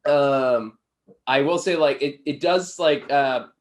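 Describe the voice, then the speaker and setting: A man's voice: medium pitch, takes it slowly. A tired-sounding man giving a casual, unscripted film review in informal, sweary language. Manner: profane, informal.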